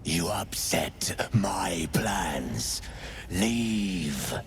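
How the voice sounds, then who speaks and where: An actor playing a menacing, domineering villain in a dark fantasy video game, with an imperious manner. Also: male voice, low-pitched, expressive, extremely slow, gravelly, soft background music, full character voice. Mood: cold menace.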